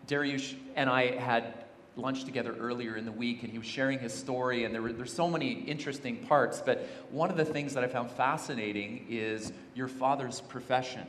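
Pastor in an echoing church hall. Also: male voice, mid-range voice, slight background noise. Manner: warm, hesitant, repeats words. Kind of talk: sermon-style stage interview.

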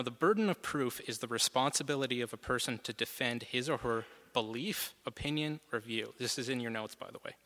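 A man presents a lesson from his notes, moving step by step and dropping in a few fillers. His voice is bright and forceful. It rings out across a reverberant hall. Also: mid-range voice. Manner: steady and methodical, some fillers. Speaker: guest presenter.

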